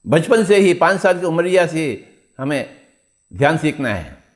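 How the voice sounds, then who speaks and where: A man's voice: fairly high pitch, sing-song, unhurried. A man teaching meditation in an exhorting spiritual discourse, repeating his phrases and posing rhetorical questions.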